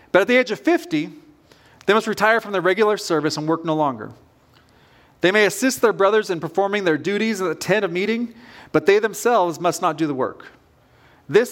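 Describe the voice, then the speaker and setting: A man's voice: sits high, a bit bright. A man in his forties preaching a sermon as a pastor in an informal, conversational storytelling manner. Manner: informal, self-questioning storytelling.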